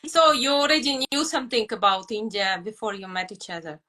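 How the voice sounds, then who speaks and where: A woman's voice: a little high, brisk, pushed and bright. A woman hosting an interview who asks her guests questions in a polite, structured way. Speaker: interview host. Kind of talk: hosted interview.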